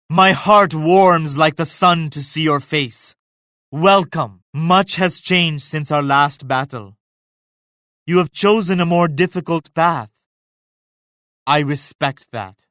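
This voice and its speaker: An actor voicing a devout, dignified Mughal emperor in a video game, speaking in a solemn, formal, measured manner. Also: male voice, on the high side, pushed and bright, no room sound, clean studio sound. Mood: warm, respectful. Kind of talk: scripted video game character lines.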